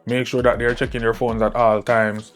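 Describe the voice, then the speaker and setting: A man's voice: medium pitch, flat delivery, moderate pace. A man giving a casual, conversational product review, often checking in with the listener with short tag questions.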